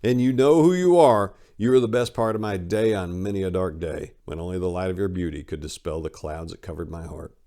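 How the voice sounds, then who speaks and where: A man reading a book aloud in a dramatic style, with deliberate, declamatory delivery. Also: low voice, dark tone. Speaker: audiobook reader. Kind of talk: dramatic reading of a book's acknowledgments.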